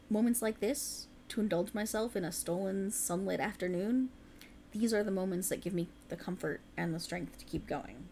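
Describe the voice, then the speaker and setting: A woman voicing a proud, otherworldly fae ruler who speaks slowly and grandly, drawing the listener close in a roleplay audio drama. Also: mid-range voice, darkish tone, a little hiss or hum, close to an everyday voice. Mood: tender. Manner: slow, grand and intimate, addressing the listener closely.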